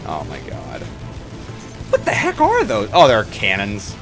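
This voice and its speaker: A man hosting a livestream, chatting casually about games in an excitable, jokey way. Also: lowish voice, measured pace. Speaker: livestream host. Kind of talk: casual game-stream chat. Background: music.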